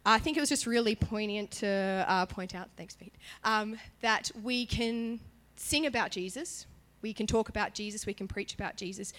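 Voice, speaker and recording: A woman worship leader giving a sermon in a church, chatty and animated in her emphasis, her voice partly gone from illness. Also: medium pitch, normal speed, hardly any pauses. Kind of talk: sermon with prayer.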